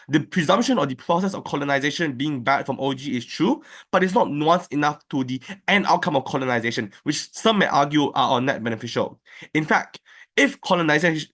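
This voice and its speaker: A man delivering a competitive debate speech over an online call, arguing point by point with quick rebuttals. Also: on the high side, a bit bright. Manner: fast, structured argument with quick rebuttals. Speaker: debate speaker. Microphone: online call, own mic.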